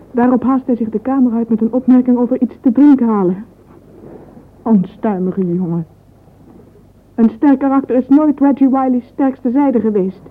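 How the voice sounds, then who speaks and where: An actress playing a dreamy, vain young woman in a radio drama monologue, intimate, sentimental and often breathy. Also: a little high, close to an everyday voice. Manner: intimate, wistful, often breathy and half-whispered. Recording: narrow phone-like old radio recording, faint hiss.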